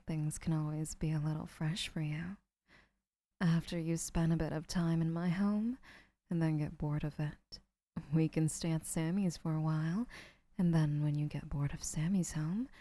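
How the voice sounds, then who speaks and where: A woman playing a doting, obsessive young woman who keeps the listener, speaking in a soft, whispery, sweetly possessive way in an audio roleplay. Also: low voice, no echo.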